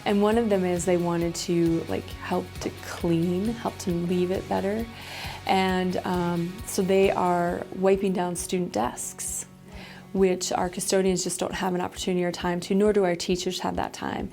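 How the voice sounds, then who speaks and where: Woman, middle-aged, low even voice, creaky, school principal, casual interview, faint music behind. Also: measured pace.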